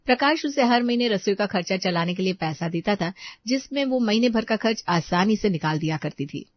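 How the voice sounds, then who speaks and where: A woman storyteller delivers scripted narration of a domestic tale. Her pitch is average, and the sound is very dry and a little dull. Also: expressive, brisk, dry recording.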